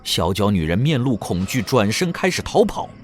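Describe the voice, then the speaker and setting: A man's voice: fairly low pitch, sing-song, normal speed, music in the background. A man narrating an audio drama in a suspenseful, dramatic scripted storytelling style.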